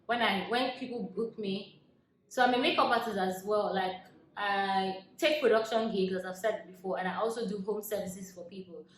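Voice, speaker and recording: A woman in her twenties with a Nigerian accent, chatting casually to camera as she answers viewer questions, laughing often and dropping into soft asides. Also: mid-range voice, steady tone, normal speed. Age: 20s. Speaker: vlogger. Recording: echoey room with faint noise.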